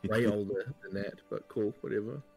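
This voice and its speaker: A man's voice: lowish voice, normal speed, gravelly, a little mellow, husky, soft background music. A man who is a guest on a casual chat stream, teasing the others with dry humor.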